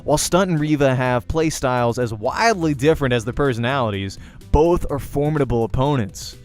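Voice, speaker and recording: A man's voice: mid-range voice, fairly quick, faint music behind. A man reading a visual novel aloud as a let's-player, narrating the game story expressively.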